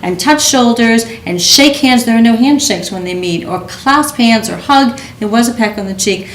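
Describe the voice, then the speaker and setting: A woman giving emphatic, animated critique in a casual group discussion, sometimes stumbling over her words. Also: on the high side, moderate pace. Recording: echoey room, faint noise.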